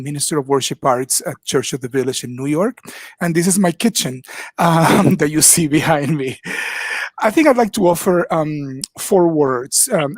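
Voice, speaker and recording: A man's voice: a little high, no echo. Older man, thoughtful headset voice. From Dominican Republic. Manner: thoughtful, frequent ums, measured. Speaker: panelist, minister of worship arts. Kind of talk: reflective panel talk. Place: home kitchen. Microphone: headset on video call.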